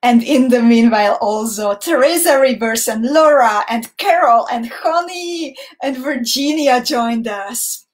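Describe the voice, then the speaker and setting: A woman from Slovakia with a Hungarian accent leads a live beading lesson in English, which is not her first language. Her voice sits a little high, and she speaks slowly and warmly, laughing often and greeting viewers by name. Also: lively intonation. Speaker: beading instructor. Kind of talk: live-stream tutorial.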